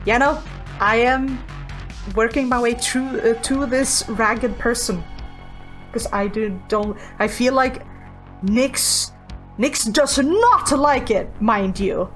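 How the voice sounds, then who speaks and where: A woman with a fairly high voice plays a tabletop roleplaying game. She talks very slowly and casually, working out her character's moves aloud and cursing, over light music. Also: fairly bright tone. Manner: sweary, thinking aloud haltingly.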